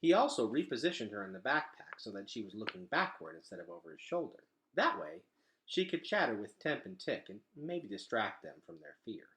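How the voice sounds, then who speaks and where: A man reading a children's novel aloud as an expressive storyteller. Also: medium pitch, fairly quick, creaky.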